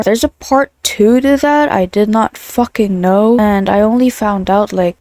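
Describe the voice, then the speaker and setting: A woman's voice: medium pitch, darkish tone, dry recording. A young woman of Filipino family, a student and vlogger, rambling through a casual diary vlog and swearing freely. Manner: rambling, chatty, swears often.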